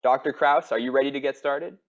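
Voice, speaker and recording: A man's voice: mid-range voice, fairly even intonation, a little fast. Polite male podcast host, dull audio. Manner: friendly and polite, with some "um" and "uh" fillers. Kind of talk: interview hosting.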